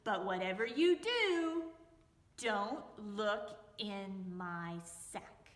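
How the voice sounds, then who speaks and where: A woman's voice: medium pitch, lively intonation, pitched below the performer's own voice. Woman as a sly, polite fox trickster, echoey room. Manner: courteous, firm, deliberately drawn-out warnings.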